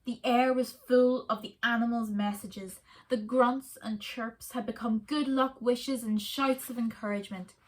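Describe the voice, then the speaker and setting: A woman reading a children's story aloud in a soft, expressive way. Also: a little high, measured pace, few pauses.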